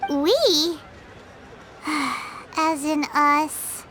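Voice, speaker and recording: A woman voicing a tiny, girlish fairy companion in a video game, squeaky and childlike, blunt and easily indignant. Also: animated, very slow, fairly bright tone, a little hiss or hum, heavily acted.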